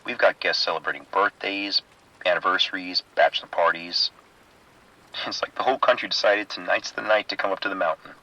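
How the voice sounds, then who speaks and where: A deep, raspy male kitchen chief, played by an actor in a radio drama. His voice is forceful and rough-edged. He sounds harried but good-humored, with a wry, informal delivery. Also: brisk, lo-fi sound, full character voice. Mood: wry, weary. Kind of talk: scripted horror audio drama radio exchange.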